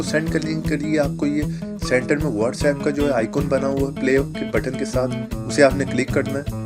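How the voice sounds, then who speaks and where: Guy doing a casual phone app how-to, normal pitch voice, loud guitar music behind him. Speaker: host of a tech tips channel. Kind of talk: casual app tutorial narration.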